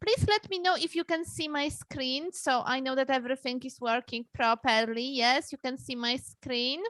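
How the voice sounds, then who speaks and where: A woman from Poland, a dating coach hosting a live webinar, speaking in a slow, friendly way with many fillers and soft laughs, recorded on a computer mic during a video call. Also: high-pitched, fairly even intonation, no echo, poor audio.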